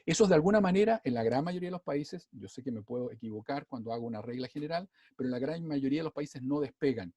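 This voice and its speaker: A man with a Chilean accent, speaking quickly on a seminar panel, with many "eh" fillers. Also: medium pitch, no echo. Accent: Chilean Spanish. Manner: rapid and animated, with many "eh" fillers. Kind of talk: fast-paced seminar talk.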